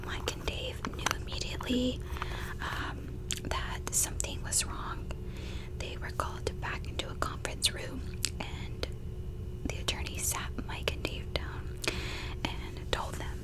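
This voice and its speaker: A woman telling true crime stories in a soft, breathy ASMR whisper, in an informal, conversational manner. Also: rough recording. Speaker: ASMR video creator and host.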